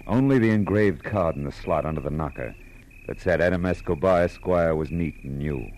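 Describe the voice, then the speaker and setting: An actor playing a wry, tough private detective in an old radio noir, with clipped, slangy delivery. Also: male voice, sits low, moderate pace, darkish tone, a little hiss or hum, low-quality recording. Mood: wry narrating. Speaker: narrator and lead. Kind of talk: 1940s radio noir narration and dialogue. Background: music.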